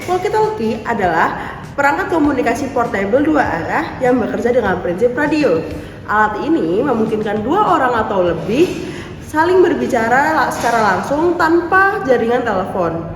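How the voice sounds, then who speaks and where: A young female student reads out a scripted explanation. Her pitch is fairly high and very varied, in an echoey space with clear background noise. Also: sing-song, moderate pace. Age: young adult. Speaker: student presenter.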